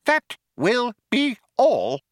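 A man voicing a small, imperious mouse in an audiobook, sharp, firm and dismissive. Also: extremely high pitch, huge pitch swings, unhurried, no room sound, clean studio sound, voice pushed up, exaggerated voice. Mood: curt, dismissive. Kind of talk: audiobook character voice.

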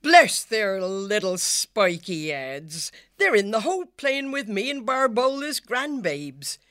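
A man's voice: sits high, sing-song, takes it slowly, bright, forceful tone, no room sound, clean studio sound, pitched above the performer's own voice, full character voice. A man voicing a big, motherly female otter who speaks in a folksy rustic dialect.

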